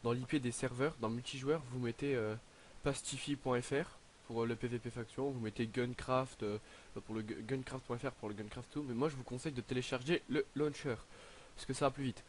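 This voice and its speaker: A teenage boy narrating his game in a casual, hesitant way, filling pauses with "donc, euh" and sounding slightly stuffy from a cold. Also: mid-range voice, steady tone, a little hiss or hum. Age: teens. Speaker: gaming YouTuber. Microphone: headset.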